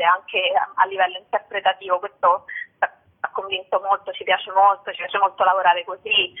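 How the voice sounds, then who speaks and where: Woman on the phone doing a radio interview, thin tinny line, kinda hesitant, feeling for words as she explains. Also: medium pitch. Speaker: theatre artist interviewed about her show. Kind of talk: conversational interview answer.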